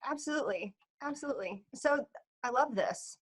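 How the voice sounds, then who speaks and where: A woman with a high voice hosting a casual webinar. She is upbeat and laughs easily as she guides the talk. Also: expressive, a little fast. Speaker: webinar host. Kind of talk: casual chat.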